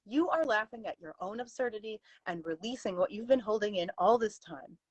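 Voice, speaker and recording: A woman's voice: mid-range voice, lively intonation, brisk, breathy edge, dry recording, voice pushed up. A woman voicing a stern, outspoken Victorian lady's companion with a prim, emphatic, reproving manner in a story reading. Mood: firm, triumphant. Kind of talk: live dramatic story reading.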